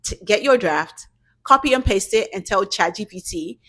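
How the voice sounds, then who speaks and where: A woman hosting her own channel talks straight to the camera in a casual tutorial. Her voice sits at an average pitch, and her tone is direct and coaching as she urges viewers on. English is her second language. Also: animated, moderate pace, a bit bright. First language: not English. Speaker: YouTube channel host giving business grant tips.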